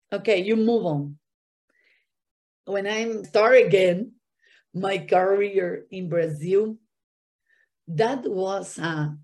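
A woman's voice: medium pitch, a little mellow. A woman from Argentina, a Spanish native speaker, talking in an interview in earnest, non-native English with an Argentine accent. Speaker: guest. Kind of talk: podcast interview.

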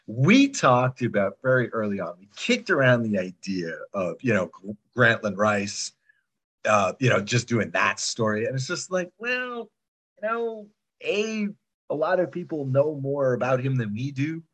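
A man's voice: medium pitch, very animated, unhurried, a bit bright, slightly rough. A man talking casually as a producer in an interview, digressive and emphatic, often tagging points as questions. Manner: digressive, emphatic, checks in with "right?". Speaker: podcast drama producer.

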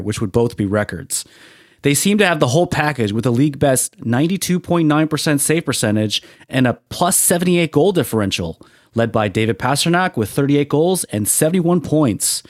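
A man's voice: medium pitch, no room sound, studio quality. A man from the Boston area who hosts a podcast, reading a topic intro and talking casually. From Boston area, USA. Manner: reads stats steadily, self-deprecating. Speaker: podcast host.

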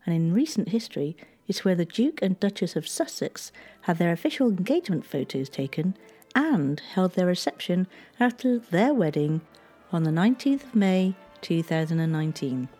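A woman giving scripted history narration in a measured, gently storytelling way. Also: fairly low pitch, expressive, soft background music.

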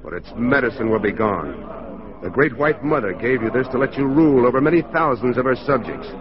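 An actor playing a stern, dry-witted Mounted Police constable, firm and measured, in 1940s radio style. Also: male voice, mid-range voice, expressive, crowd noise, natural voice. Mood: resolute. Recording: dull 1940s radio recording.

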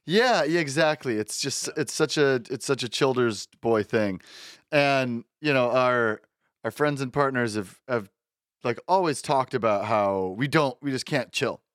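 A man's voice: mid-range voice, a little slow, fairly bright tone, no room sound. Easygoing young man with dry close sound. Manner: easygoing, laughs often, wry humor. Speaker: podcast co-host. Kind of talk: casual chat.

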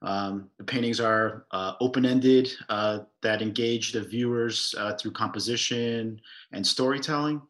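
A man speaking as an artist on an online panel, relaxed with frequent fillers, over a video call. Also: mid-range voice, a little slow. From United States. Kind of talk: casual panel talk.

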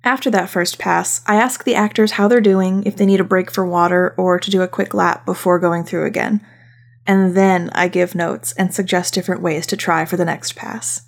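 Creaky-voiced woman hosting a podcast. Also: on the low side, fairly even intonation, moderate pace. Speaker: podcast host, voice director. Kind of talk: casual semi-scripted podcast narration.

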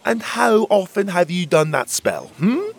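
A man's voice: high voice, big pitch swings, a little slow, gravelly, pitched above the performer's own voice, full character voice. A man voicing a grumpy, chubby male butterfairy lord who scolds in old-fashioned words. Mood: scolding. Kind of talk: audiobook character voice.

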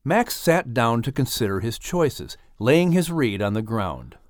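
Expressive male storybook narrator, animated pitch. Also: measured pace, no room sound, studio quality. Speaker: audiobook narrator.

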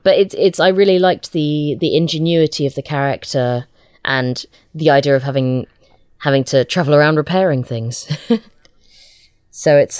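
A woman's voice: sits low, darkish tone, dry recording, clean studio sound. A woman chatting casually as an interview guest, a writer with a hesitant, self-deprecating manner.

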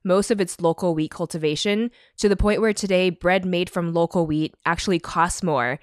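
A woman hosting a podcast, reading a measured introduction and asking clear interview questions. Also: on the low side, dry recording, clean studio sound. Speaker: podcast host.

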